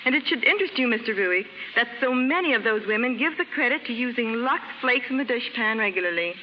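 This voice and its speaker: A woman's voice: fairly high pitch, animated, fast talker. A woman delivers a precise, scripted beauty testimonial for 1930s radio. The broadcast sounds dull, with long reverberation and faint hiss. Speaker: beauty salon manicurist giving testimonial.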